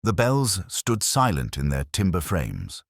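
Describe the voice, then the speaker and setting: An extremely deep male voice in a dry, close recording, a man acting a brooding, philosophical inspector. He narrates his memories in solemn, measured literary phrases for a detective audio drama, with expressive rises and falls. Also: vocal fry, no echo, full character voice. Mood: pensive, grave.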